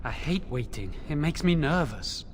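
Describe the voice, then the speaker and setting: A man's voice: on the high side, lively intonation, normal speed, noisy background, close to an everyday voice. An actor playing a nervous, impatient young male mage in a fantasy video game.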